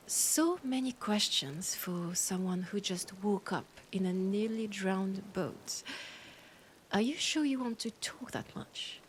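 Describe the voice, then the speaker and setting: A woman with a French accent playing an annoyed, condescending vampire scholar in a roleplay audio drama, often dropping into breathy whispers. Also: mid-range voice, takes it slowly, slight background noise, close to an everyday voice. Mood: annoyed, sarcastic. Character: annoyed, haughty female vampire scholar, ancient and hungry.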